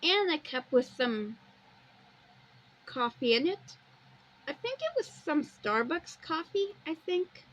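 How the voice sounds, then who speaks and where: A woman with a high-pitched voice hosts a homemade walkthrough in a casual way. She describes what she sees in plain terms and drifts into her own little asides. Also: big pitch swings, takes it slowly, pauses often, a bit bright, poor audio. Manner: plainly describes pictures, wandering into personal asides. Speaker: amateur video host.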